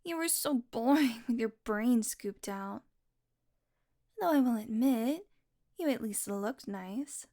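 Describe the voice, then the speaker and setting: Woman acting slow, high-voiced teasing vampire woman. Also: animated, warm tone. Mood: playful, taunting. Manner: smug, flirtatious teasing with mock annoyance. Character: teasing, possessive female vampire doting on her prey. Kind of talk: intimate audio roleplay addressed to the listener.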